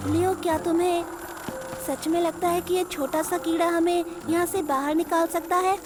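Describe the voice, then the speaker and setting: A woman voicing an excitable, doubting young male tiger cub in a children's cartoon, with a blunt, whiny way of talking. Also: very high-pitched, moderate pace, noisy background. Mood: doubting, complaining. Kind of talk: dubbed children's cartoon acting. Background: prominent music.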